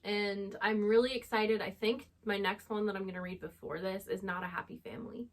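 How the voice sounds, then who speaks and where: Female co-host, medium pitch, conversational and unscripted book talk. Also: fairly even intonation, brisk. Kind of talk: casual unscripted book chat.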